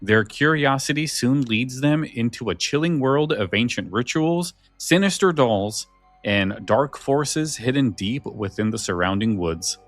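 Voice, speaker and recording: A man's voice: mid-range voice, animated, measured pace, faint music behind. A man co-hosting a podcast, talking casually about his favourite stories. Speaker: podcast co-host.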